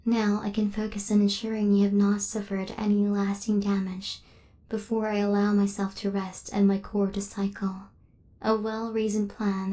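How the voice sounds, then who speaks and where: Woman acting a soft-whispering, devoted killer robot. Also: medium pitch, natural voice. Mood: calm, analytical. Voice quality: soft, breathy half-whisper. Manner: formal, precise, measured machine-like phrasing. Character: devoted female killer robot, injured, tenderly possessive. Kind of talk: intimate ASMR roleplay acting.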